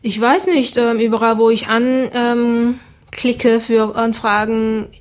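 Woman, flat even speech. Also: fairly high pitch, slow. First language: Creole. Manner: relaxed, hesitant with many fillers. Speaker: singer guest. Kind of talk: casual interview answers.

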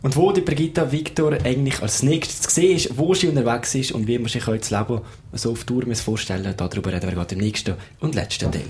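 A man's voice: medium pitch, quick pace, hardly any pauses, noisy background. A man hosting a radio interview, asking polite, formal, wordy questions. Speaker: radio show host.

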